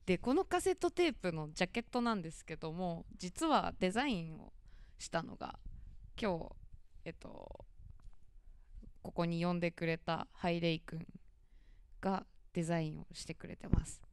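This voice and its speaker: A woman's voice: lowish voice, lively intonation, moderate pace, pauses often, clean tone, no room sound. A young woman managing a label, explaining it in casual radio talk with many hesitant fillers into a handheld mic in a dim cafe studio.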